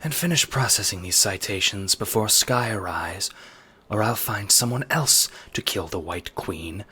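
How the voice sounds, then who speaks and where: A man voicing a stern, commanding queen in low, clipped, husky tones, in a fan audio drama. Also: lively intonation, a little slow, bright, forceful tone, full character voice.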